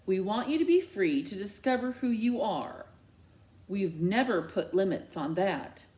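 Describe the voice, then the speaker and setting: A woman voicing a concerned father in a story, measured and pointed, reasonable but bitter. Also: medium pitch, lively intonation, darkish tone, echoey room, lightly acted.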